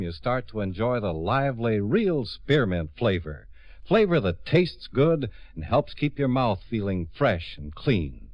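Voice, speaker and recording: An American man announcing on 1950s radio with a polished, deliberate sales delivery. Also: mid-range voice, no echo, highs cut off.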